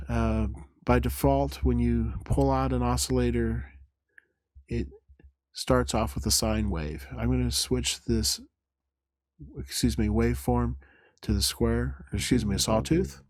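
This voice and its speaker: A man presents a technical tutorial at a medium pitch, explaining each step methodically. His delivery is halting and instructional, and the sound is dry and close, with very little room to it. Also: fairly even intonation, a little mellow, no room sound. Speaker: demo presenter. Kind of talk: instructional software demo narration.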